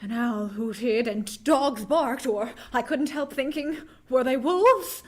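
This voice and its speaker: A woman's voice: sits high, slow, a little hiss or hum. An actress playing an earnest, unsettled young woman, a devoted friend, with dramatic delivery in a vintage radio horror drama. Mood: haunted narrating.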